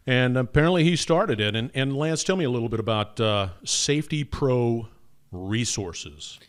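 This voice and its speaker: A man's voice: mid-range voice, moderate pace, smooth, dry recording. Male podcast host, big resonant voice, chatty and filler-heavy, dry booth sound. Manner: energetic, many fillers and restarts. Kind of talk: casual podcast intro and interview.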